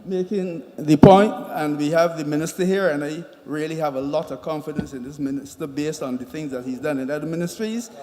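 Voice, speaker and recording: A man with a Barbadian accent making impromptu remarks at a public consultation, halting and emphatic, often repeating himself. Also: a little high, dark tone. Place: large indoor meeting hall. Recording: reverberant hall public-address sound.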